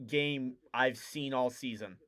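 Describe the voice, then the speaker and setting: A young man doing live play-by-play for a hockey stream, excitable and rising to shouts on big plays, his voice wearing out. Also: medium pitch, slow pace. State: losing his voice. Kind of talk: play-by-play commentary.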